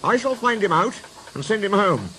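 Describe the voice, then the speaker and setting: A man's voice: high voice, animated, normal speed, sharp tone, pitched above the performer's own voice, rough recording. A man voicing a stern, exasperated railway controller from a children's story, speaking in commanding, clipped questions. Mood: stern, questioning.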